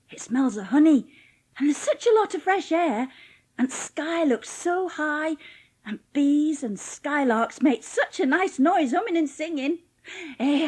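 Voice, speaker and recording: A woman's voice: high-pitched, dark tone. A woman playing a cheerful, plain-spoken young housemaid with a Yorkshire accent in a dramatised audiobook. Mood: warm, enthusiastic. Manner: chatty, blunt, homely dialect speech.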